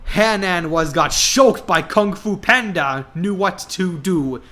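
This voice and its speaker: Bright, slow-paced man reading theatrically. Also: sits high. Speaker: narrator. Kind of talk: comic dramatic reading of fan fiction.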